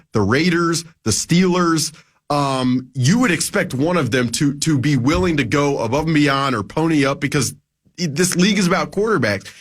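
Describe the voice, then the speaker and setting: A man's voice: fairly high pitch, fairly bright tone. A man in his twenties talking casually as a sports reporter guest on a radio show, rambling with lots of fillers and restarts. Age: 20s.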